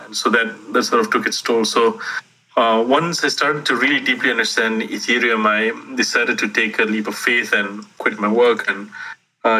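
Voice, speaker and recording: A man's voice: on the low side, bright, forceful tone, low-quality recording. A man who grew up in Singapore, a startup founder telling his story as an interview guest in a reflective, meandering way with self-deprecating humor. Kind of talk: interview answer, personal storytelling.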